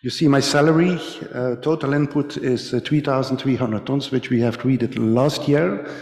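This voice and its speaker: A middle-aged man with a Belgian accent giving a conference presentation in halting English. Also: mid-range voice, fairly even intonation, a little mellow. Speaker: conference speaker, company manager. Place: large ornate hall, indoors. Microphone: podium microphone. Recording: echoey hall with long reverb.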